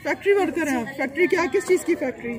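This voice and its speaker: A man's voice: extremely high pitch, animated, very fast, a crowd in the background. A man working as a reporter, asking short prompting questions in a street interview. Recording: crowded street.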